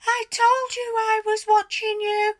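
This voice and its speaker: A woman voicing a spiteful, suspicious old wife in a children's story, with a shrill cackle and a scolding, gloating manner. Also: level tone, unhurried. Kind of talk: theatrical children's storybook character voice.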